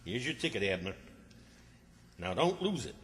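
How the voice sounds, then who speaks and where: An exasperated, hectoring small-town man played by a male actor in folksy old-time radio comedy style. His voice is forceful and animated, ringing in a reverberant hall. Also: lowish voice, normal speed, slight background noise. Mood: bossy. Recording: echoey hall with long reverb.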